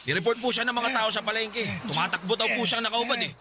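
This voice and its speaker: A man's voice: sits high, quick pace, natural voice. A man playing a plain-spoken village watchman in a Filipino radio drama, polite but complaining. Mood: aggrieved. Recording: very noisy ambience behind the voice.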